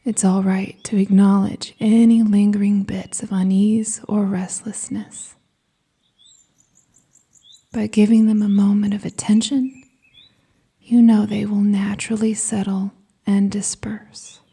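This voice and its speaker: Very dark, whispery slow woman's voice. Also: medium pitch, little pitch movement. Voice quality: soft, breathy, whispery. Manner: soothing, gentle, deliberate pauses. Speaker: hypnosis and meditation guide. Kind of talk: scripted guided meditation.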